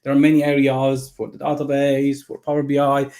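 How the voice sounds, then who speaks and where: A man's voice: mid-range voice, completely flat delivery, fairly quick, mellow. A man from Iran with an Iranian accent, a native Persian speaker, eagerly giving a technical presentation over a video call and often restarting his phrases. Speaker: guest presenter.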